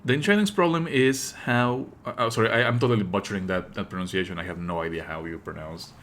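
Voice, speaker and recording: A man streaming live commentary, explaining in an opinionated, pedantic way with halting delivery and emphatic stresses. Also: on the low side, fairly bright tone. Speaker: streamer and host.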